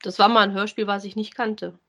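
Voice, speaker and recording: A woman with a medium-pitched voice. She talks casually and conversationally, as the co-host of a review podcast would. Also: little pitch movement, a little fast, bright, forceful tone.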